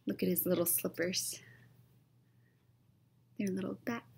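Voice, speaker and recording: A young woman reading a children's storybook aloud, expressive and suspenseful, stretching key words and dropping toward whispers. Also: lowish voice, unhurried, gravelly, slight background noise. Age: young adult. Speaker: read-aloud storyteller, teacher. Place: small classroom, indoors.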